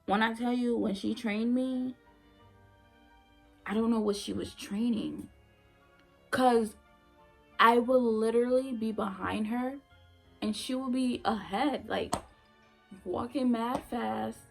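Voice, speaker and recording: A woman's voice: a little high, slow, gravelly, pauses often, slightly rough, soft background music. A young woman, a former nursing assistant, telling her story in a casual vlog, blunt and emphatic, repeating her points for effect.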